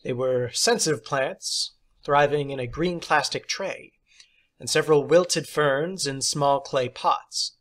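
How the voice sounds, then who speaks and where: A man's voice: fairly high pitch, takes it slowly, a bit bright. A man reading a short story aloud as audiobook narration. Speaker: audiobook reader.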